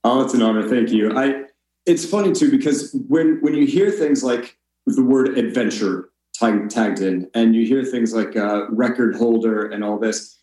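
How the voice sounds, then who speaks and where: A man's voice: medium pitch, mellow. American man, roomy echoing sound. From Colorado, United States. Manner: self-deprecating, gracious. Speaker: podcast guest. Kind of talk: casual conversational storytelling.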